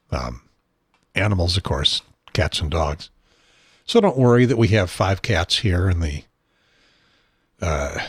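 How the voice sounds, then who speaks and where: Husky-voiced man rambling, clearing his throat. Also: on the low side, dry recording. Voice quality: husky, unsteady voice. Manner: rambling, self-deprecating, frequent throat clearing. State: has a probe in his nose. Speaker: podcast host. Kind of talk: rambling solo talk show. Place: home studio at a desk.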